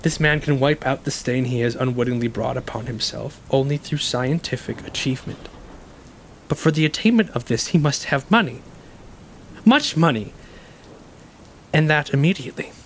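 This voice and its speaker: An actor playing a bitter, wounded ex-convict in an audio stage play, intense and cunning, with dramatic, halting, emphatic delivery. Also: male voice, mid-range voice, close to an everyday voice, rough recording. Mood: shrewd, pressing. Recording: rainy ambience and faint noise.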